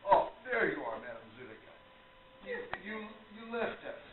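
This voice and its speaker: A man playing an affable, considerate older gentleman in a radio play, courteous and a little hesitant. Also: on the high side, sing-song, normal speed. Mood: kindly, courteous. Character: affable, kindly older gentleman, devoted spiritualist writer. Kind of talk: amateur radio play acting. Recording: echoey, distant, tinny, noisy room recording.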